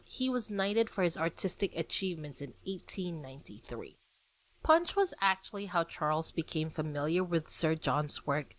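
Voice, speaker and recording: A young woman hosting a podcast, chatting with warm enthusiasm and lifting her voice for emphasis. Her voice sits a touch low. The sound is close and dry, with no room around it. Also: no echo. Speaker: podcast host. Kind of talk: casual podcast discussion.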